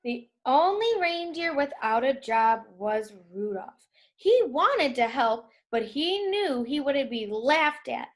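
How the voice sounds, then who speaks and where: A woman reading a children's storybook aloud in a deliberate way for young listeners. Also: a little high.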